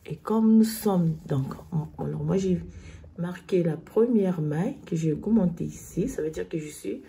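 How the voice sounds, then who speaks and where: A woman with a cold, hoarse, slowly explaining knitting stitches step by step in a tutorial. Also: fairly low pitch, warm tone. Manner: slow, step-by-step instructions.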